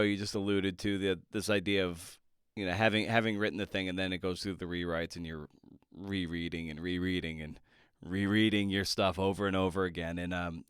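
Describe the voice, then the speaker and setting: A male podcast host with a fairly low, very clean and resonant voice in a dry, close recording. He talks casually and informally, breaking off and restarting his thoughts as he goes. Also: a bit bright, clean tone, no room sound. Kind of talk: casual conversational interview.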